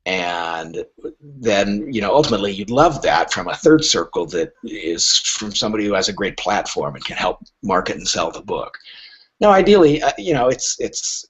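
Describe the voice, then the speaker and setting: Male podcast guest, literary agent type: lively swooping intonation, emphatic explanations, halting starts, muffled low-fidelity recording. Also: breathy edge. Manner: emphatic, halting starts with repeated words, rhetorical questions.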